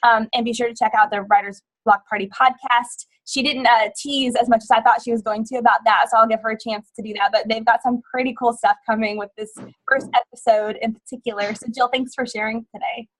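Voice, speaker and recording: A woman's voice: fairly high pitch, fairly even intonation, brisk, rough recording. A woman co-hosting a casual group video call, talking in a chatty, rambling way with fillers. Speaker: co-host.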